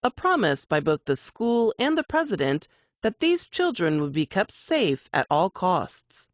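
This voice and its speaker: A woman's voice: fairly low pitch, very animated, a little slow, dry recording, muffled recording. A woman narrator reading suspense fiction from a script in audiobook style.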